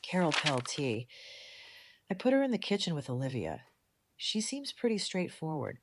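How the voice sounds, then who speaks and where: A woman playing a composed, decisive community leader in an audio drama, speaking in a measured, diplomatic way. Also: low voice, sing-song, no echo, heavily acted. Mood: thoughtful, assured. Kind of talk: fan audio drama dialogue.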